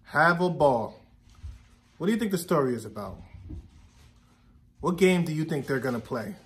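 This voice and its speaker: A man's voice: on the high side, sing-song, moderate pace. A man in his thirties reading a picture book aloud to young children, stressing key words and putting questions to them. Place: indoor classroom.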